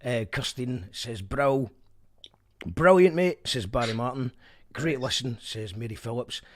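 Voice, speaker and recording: A man's voice: medium pitch, a little slow. A man hosting a radio show, chatting casually and reading out listener messages with enthusiasm.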